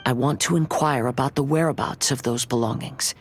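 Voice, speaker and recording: A man playing a gentle, pensive young wandering samurai in a video game, speaking in a measured, polite, reflective way. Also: medium pitch, a little hiss or hum, natural voice. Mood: earnest. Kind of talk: scripted video game voice acting.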